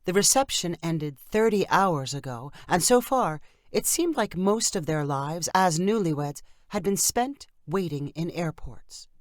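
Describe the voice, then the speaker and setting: A woman's voice: lowish voice, expressive, a little slow, few pauses, no room sound, studio quality. A woman reading a scripted short story as its narrator, for people learning English.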